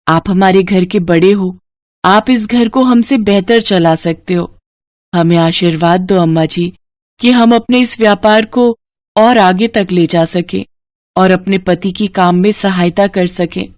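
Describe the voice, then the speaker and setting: A woman's voice: medium pitch, a little mellow, dry recording, studio quality, close to an everyday voice. A woman voicing eager, sweet and respectful young village women in a moral story cartoon. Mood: respectful hopeful. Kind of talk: animated moral story dialogue.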